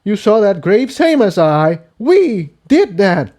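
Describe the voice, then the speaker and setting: A man's voice: high-pitched, very animated, slow, mellow, pitched above the performer's own voice, full character voice. A man voicing an anguished, angry young male unicorn pony in a fantasy story, agitated and argumentative. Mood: angry, bitter.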